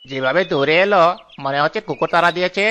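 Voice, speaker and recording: Man as a bright, quick, cheeky bird. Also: fairly high pitch, slight background noise. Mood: mocking. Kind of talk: Bengali cartoon voice acting.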